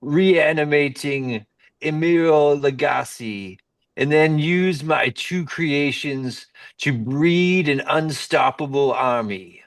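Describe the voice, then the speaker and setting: A grandiose mad scientist played by a man declaims his plans for world domination in an amateur radio comedy. He speaks very slowly and deliberately, at an average pitch. The sound is roomy and a little far from the mic. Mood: grandiose, scheming. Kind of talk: amateur radio comedy sketch read-through. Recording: roomy echo, distant microphone.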